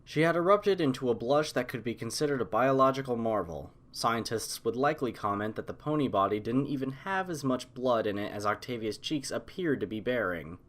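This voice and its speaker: A man narrating a scripted story as an audiobook reader, in a measured, expressive storytelling manner. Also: mid-range voice.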